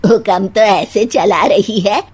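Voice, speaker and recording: A woman voicing a sharp-tongued, scolding middle-aged mother-in-law in a cartoon story, sarcastic and taunting. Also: medium pitch, slow pace, breathy edge. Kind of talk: Hindi cartoon story dialogue.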